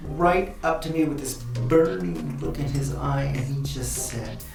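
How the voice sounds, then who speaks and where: A woman's voice: rumbling low, a little slow, vocal fry. A woman talking about herself and her work in an interview. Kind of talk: interview talk. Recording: very echoey, distant mic, music behind.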